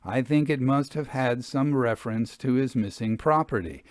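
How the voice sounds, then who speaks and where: An earnest, learned investigator of the occult from a storybook, read by a man. He speaks at a medium pitch in a measured, pedantic manner, with a very dry sound. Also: no echo, close to an everyday voice. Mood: assured, explaining. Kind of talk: storybook character dialogue.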